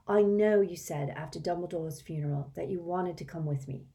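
A woman's voice: on the low side, normal speed, warm tone, lightly acted. A woman voicing an earnest teenage boy wizard who speaks hesitantly and apologetically.

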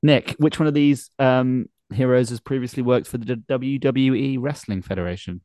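A man's voice: mid-range voice, normal speed, warm tone, no echo. A man hosting a casual, jokey sports chat and quiz, often laughing through his sentences. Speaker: podcast host and quizmaster.

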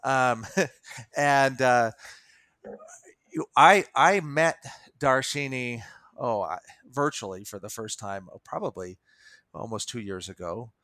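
Man, American accent, podcast host, casual, hesitant phrasing, mid-pitched, dry sound. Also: slow, long pauses, pushed and bright, no room sound. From United States. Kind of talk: casual podcast introduction and chat.

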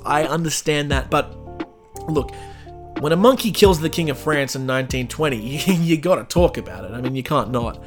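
Joking self-mocking male podcast host. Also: mid-range voice. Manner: self-mocking, rambling, jokey. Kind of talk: scripted narration then casual sign-off.

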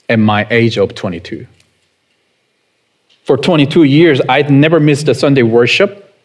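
A man with a Korean accent preaches at a slow, unhurried pace. He sounds like a pastor in his thirties, relaxed and conversational with his congregation, and often chuckles as he quizzes them. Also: medium pitch. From South Korea. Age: 30s. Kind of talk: sermon.

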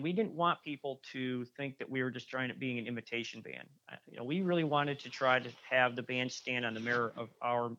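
A man's voice: medium pitch, a bit bright, dull audio. A man talking casually as a guest musician, rambling with frequent restarts and fillers. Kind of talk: casual interview chat.

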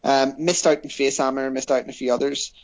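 A man of middle pitch chats casually as a podcast guest. He is relaxed and hesitant, filling his pauses with ums. The audio is dull and lo-fi, as on an online call. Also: creaky. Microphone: remote call microphone.

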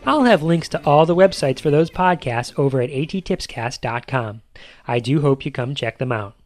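A man's voice: mid-range voice, lively intonation, moderate pace, soft background music. A man in his thirties hosting a podcast, reading scripted announcements in an upbeat, promotional way. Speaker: podcast host.

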